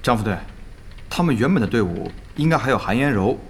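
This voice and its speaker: An actor playing a formal, earnest male game-guild aide who reports his analysis in a measured way. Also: mid-range voice, animated, close to an everyday voice. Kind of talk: radio drama dialogue.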